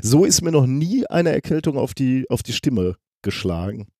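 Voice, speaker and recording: A man's voice: medium pitch, very animated, moderate pace, warm tone, no echo, clean studio sound. A man hosting a podcast while he has a cold, talking in a rambling, self-mocking way. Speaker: podcast host. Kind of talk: casual podcast chat.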